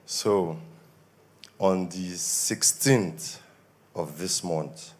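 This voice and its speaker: A young Nigerian man with a Nigerian accent gives an earnest testimony at a church service, his voice a little worn from shouting. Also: sits low, drawn-out words. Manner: earnest, grows more emphatic. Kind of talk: spoken testimony.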